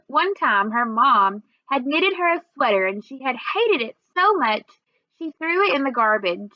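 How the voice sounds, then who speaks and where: A woman storyteller reading a first-person children's book aloud in an expressive, measured way. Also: high voice, no room sound, lo-fi sound.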